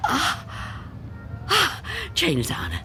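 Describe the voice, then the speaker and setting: A woman playing a frail, reclusive old woman, frightened and fretful, halting and theatrical in an old-time radio drama style. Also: sits high, huge pitch swings, heavy background noise, heavily acted. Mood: anxious, muttering.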